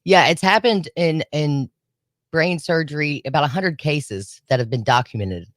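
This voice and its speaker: A woman co-hosting a morning radio show, teasing and quick to laugh in casual banter. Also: low-pitched, no room sound, studio quality. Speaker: radio co-host. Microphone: studio microphone.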